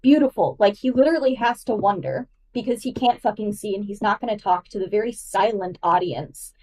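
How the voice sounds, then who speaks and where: A woman's voice: medium pitch, a little fast, dark tone, distant mic, rough recording. A woman giving enthusiastic, emphatic literary analysis as a podcast guest, casual and nerdy in her delivery.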